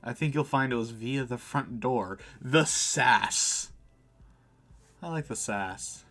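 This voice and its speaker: A man's voice: mid-range voice, slow, fairly bright tone, soft background music. A man doing casual live let's play commentary, chatting informally and reading game text aloud as he reacts. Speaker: game streamer.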